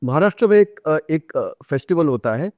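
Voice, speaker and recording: Indian guy telling stories on a podcast, bit high pitched, hops between hindi and english, really dry sound. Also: animated, brisk, a little mellow, no echo. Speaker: author, podcast guest. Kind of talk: conversational storytelling in an interview.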